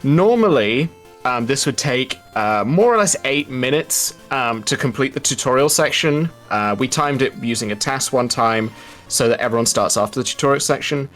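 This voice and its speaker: A man's voice: medium pitch, animated, normal speed, fairly bright tone, soft background music. A man giving casual, explanatory live speedrun commentary in a chatty, wry, informal way.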